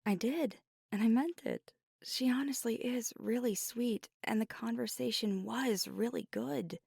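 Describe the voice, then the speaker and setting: Woman acting a breathy, hushed werewolf girlfriend. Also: a little high, dry recording, clean studio sound, full character voice. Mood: reflective, candid. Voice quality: breathy, often near a whisper. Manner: halting, hushed, confessional, with pauses. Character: fiery werewolf girlfriend, weary, guarded, confiding tenderly. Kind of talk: intimate ASMR audio roleplay. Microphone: close microphone, dry.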